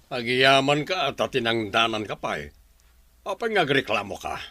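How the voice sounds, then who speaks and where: Sharp, animated, scornful landowner played by a man. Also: medium pitch, moderate pace, no echo. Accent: Ilocano. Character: stingy, scornful landowner and village employer. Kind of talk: Ilocano radio-style drama acting.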